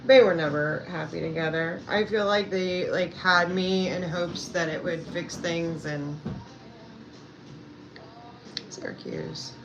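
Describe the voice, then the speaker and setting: Gravelly low-voiced woman chatting. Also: fairly even intonation, unhurried, rough recording. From Pennsylvania, USA. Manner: rambling and hesitant, with many fillers. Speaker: self-care coach and vlogger. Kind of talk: casual live chat answering viewers. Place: at home indoors. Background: background music.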